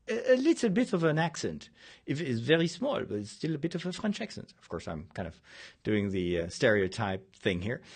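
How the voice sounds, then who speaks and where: A man's voice: a little high, breathy edge. A man with a Dutch accent, a priest hosting a show, talking in a casual, digressive monologue and often searching for words mid-sentence. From Netherlands. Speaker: priest and podcast host.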